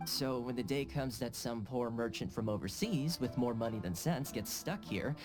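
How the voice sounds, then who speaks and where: A man's voice: medium pitch, animated, moderate pace, over music, lightly acted. An actor playing a courteous, easygoing and shrewd young male fixer in a video game. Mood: relaxed, explanatory.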